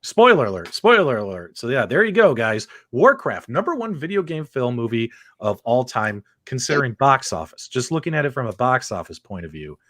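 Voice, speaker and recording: A man's voice: mid-range voice, big pitch swings, brisk, hardly any pauses, fairly bright tone, no echo. A man hosting a live-streamed panel, chatting casually, joking and quizzing the others.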